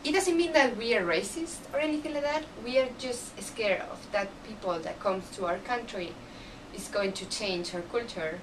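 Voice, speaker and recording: A woman's voice: medium pitch, moderate pace. A woman from Madrid with a Spanish accent and Spanish as her first language, earnestly explaining her views in loose, broken English. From Spain, Madrid. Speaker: interviewee. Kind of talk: casual interview answers. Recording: distant mic with background noise.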